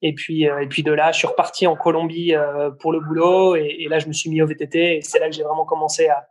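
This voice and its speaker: Hesitant young man, slightly high. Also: flat delivery, quick pace. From Belgium. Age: 20s. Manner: hesitant, many fillers and restarts. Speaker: guest, brand founder. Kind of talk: casual interview answers.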